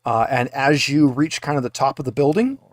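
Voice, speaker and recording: A man narrating a tabletop roleplaying game as game master, in a casual, halting conversational way. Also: medium pitch.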